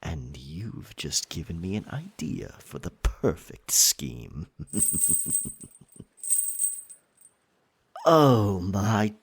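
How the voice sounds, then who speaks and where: A man playing a possessive, roguish pirate captain in an ASMR roleplay, theatrical, teasing and dominant, with a husky, breathy voice. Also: deep voice, big pitch swings, slow pace, full character voice. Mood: smitten, seductive.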